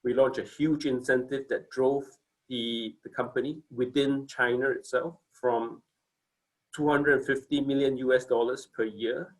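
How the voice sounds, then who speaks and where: A man's voice: medium pitch, steady tone, a little slow, poor audio. A man in his forties from Singapore, giving a casual company presentation on a video call, hesitant with many fillers and restarts.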